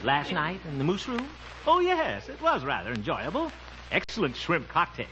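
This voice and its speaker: An actor playing a jovial, self-satisfied small-town bachelor in an old-time radio comedy, with a folksy, chuckling, self-assured manner. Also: male voice, fairly high pitch, huge pitch swings, normal speed. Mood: coy, smug. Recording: muffled, noisy old-time radio recording.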